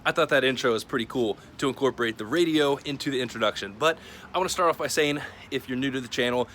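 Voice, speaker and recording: A man's voice: medium pitch, fast talker, a bit bright, slight background noise. A man hosting a casual product review to camera in an informal, plain-spoken, conversational way. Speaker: video host.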